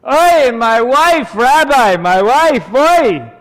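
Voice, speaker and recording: Man playing a weary old water carrier, high-pitched. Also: animated, fairly bright tone, heavily acted. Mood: grumbling, aggrieved. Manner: lamenting, drawn-out exclamations. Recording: long hall reverb.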